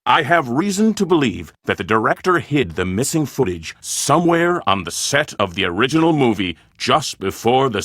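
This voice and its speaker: A man's voice: medium pitch, sharp tone, no echo, clean studio sound, full character voice. A man playing a scheming, self-important film producer in a video game, speaking in a formal, emphatic, theatrical way.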